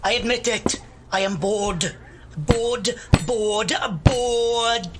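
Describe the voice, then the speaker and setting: A woman's voice: a little high, very slow, very noisy. A woman acting in an audio drama as a bored, opinionated, argumentative young procrastinator who loves gossip. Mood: bored ranting. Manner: argumentative, grumbling.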